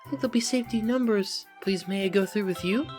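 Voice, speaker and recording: A woman's voice: mid-range voice, fast talker, a little mellow, soft background music, close to an everyday voice. A woman voicing a polite, timid, earnest young woman from a video game, speaking courteously. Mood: polite, anxious. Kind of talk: video game character reel.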